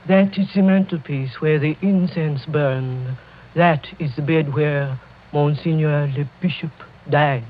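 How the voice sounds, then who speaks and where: An actress playing an ominous chateau housekeeper in a radio mystery, with a French accent and a formal, measured manner. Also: female voice, sits low. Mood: ominous formality. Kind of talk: 1940s radio mystery acting. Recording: muffled, noisy 1940s radio recording.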